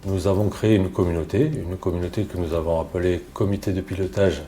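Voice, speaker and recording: Deep male voice, dark mellow tone, deliberate delivery, formal interview explanation. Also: fairly even intonation.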